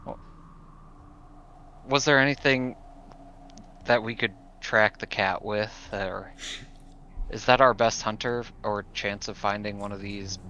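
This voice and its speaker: A man playing a tabletop roleplaying game, talking casually in a hesitant, halting way. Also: fairly low pitch, fairly even intonation, sharp tone, highs cut off, a little hiss or hum.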